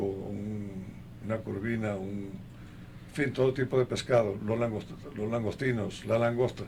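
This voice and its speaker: An older man being interviewed in a conversational way, speaking haltingly and listing things one by one, with a gravelly voice. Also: fairly low pitch, a little mellow, slight background noise. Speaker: interview guest.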